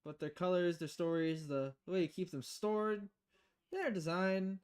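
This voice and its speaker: A woman game streamer reading visual novel dialogue aloud in a theatrical, expressive way. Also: on the low side, measured pace, smooth.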